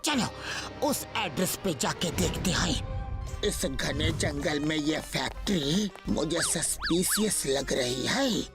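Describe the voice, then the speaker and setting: A man voicing a clever, level-headed male detective in a Hindi cartoon, explaining things deliberately over loud music. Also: on the high side, wildly swooping pitch, full character voice. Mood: thoughtful.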